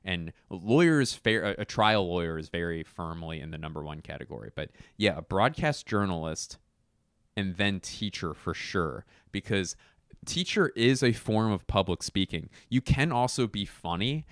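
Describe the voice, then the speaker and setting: A young adult man rambling through a casual solo chat, self-mocking and sure of himself, with quick asides and restarts. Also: low voice, lively intonation, dry recording, studio quality.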